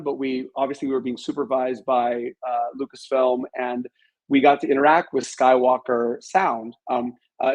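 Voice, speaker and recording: A man's voice: medium pitch, darkish tone, low-quality recording. A man speaking as an author being interviewed, telling stories in a modest, reflective and conversational way. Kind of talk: conversational storytelling.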